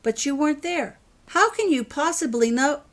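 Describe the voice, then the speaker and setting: An exasperated storybook dad, acted out by a woman reader, stern and quick to anger. He snaps and raises his voice, though he cares for his children underneath. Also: high-pitched, animated, voice pushed up. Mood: urgent, questioning. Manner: shouts and snaps when angry. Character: angry, exasperated father, stern but loving.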